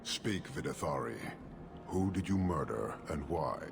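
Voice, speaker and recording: Brief: stern warlord, very deep and gravelly, measured, game audio with noisy ambience. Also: male voice, expressive, heavily acted. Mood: cold. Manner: cold, measured, imperious. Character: stern towering male warlord leader.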